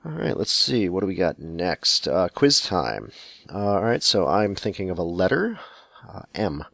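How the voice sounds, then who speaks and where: A man's voice: low-pitched, big pitch swings, unhurried, vocal fry, fairly bright tone, no room sound. A man hosting a solo podcast in a casual, sarcastic style, speaking close to the microphone. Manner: sarcastic, sweary. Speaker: podcast host. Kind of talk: solo podcast talk, casual and sardonic, reading listener mail.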